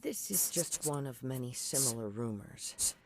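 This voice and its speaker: A reserved young samurai with a gravelly edge to his voice. He speaks formally, calmly and politely. Background noise sits clearly under his lines. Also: medium pitch. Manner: calm, formal, measured. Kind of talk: video game dialogue.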